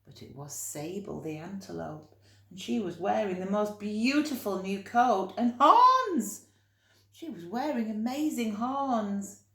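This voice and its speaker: A woman reading a children's story expressively, with dramatic emphasis and drawn-out key words. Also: mid-range voice, lively intonation, bright, forceful tone, reverberant.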